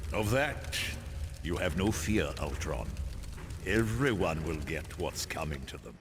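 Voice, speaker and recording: A man's voice: expressive, a little slow. A man playing a stern, menacing supervillain mastermind in a video game, with grandiose, formal, commanding delivery. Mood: cold menace. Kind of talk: video game cutscene acting. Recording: long reverb with loud ambient rumble.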